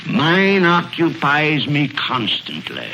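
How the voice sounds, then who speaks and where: A scornful old miser in a vintage radio play. The voice is sharp and swings widely as he grumbles and snaps orders. The recording is dull and a little noisy. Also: fairly high pitch, huge pitch swings, slow, vocal fry, full character voice. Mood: cold, dismissive. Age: older. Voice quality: crotchety, sharp. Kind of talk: 1930s radio drama acting.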